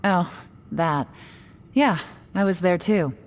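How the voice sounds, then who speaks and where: An actress playing a cool, composed young woman investigator in a video game, speaking in a measured, deliberate way. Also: fairly low pitch, background noise. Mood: pensive.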